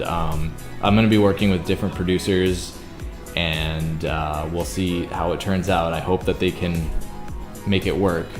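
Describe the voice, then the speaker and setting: A young man with a very deep baritone voice, chatting earnestly to his viewers in a casual vlog, full of "like" fillers. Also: reverberant. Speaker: YouTuber and singer.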